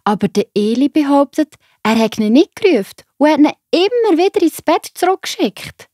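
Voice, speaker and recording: A woman with a Swiss accent narrating a story for children in a simple, expressive way. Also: high-pitched, normal speed, no echo, clean studio sound.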